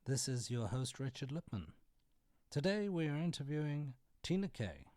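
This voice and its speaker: A man's voice: mid-range voice, sing-song, moderate pace, a little mellow. A man hosting a podcast, reading the introduction and asking short interview questions. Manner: reads sponsor script, asks short questions.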